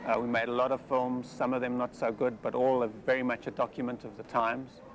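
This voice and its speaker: A South African man in his forties, a film director talking in a thoughtful, measured way with frequent pauses, recorded outdoors in a busy city square. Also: medium pitch, level tone, a little hiss or hum. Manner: measured, thoughtful, with many hesitations. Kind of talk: interview monologue.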